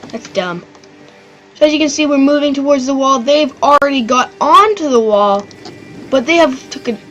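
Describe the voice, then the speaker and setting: A woman hosting her own channel, giving loose, excitable commentary on a strategy game battle, rambling and swearing now and then. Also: fairly high pitch, low-quality recording. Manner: rambling and excitable, swears, does silly voices. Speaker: gaming channel host.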